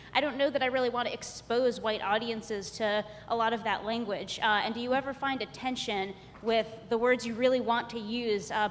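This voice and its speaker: Hesitant woman asking a question in a hall. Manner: hesitant, searching for words. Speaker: graduate student audience member. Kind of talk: audience question at a panel. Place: large conference hall. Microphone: handheld mic. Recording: long hall reverb, faint rumble.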